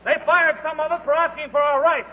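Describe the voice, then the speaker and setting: An American actor playing a defiant fired worker rallying employees loudly, in a 1940s radio drama. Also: male voice, very high voice, flat delivery, brisk. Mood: fiery, rallying. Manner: loud, declamatory. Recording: tinny, very noisy 1940s radio recording.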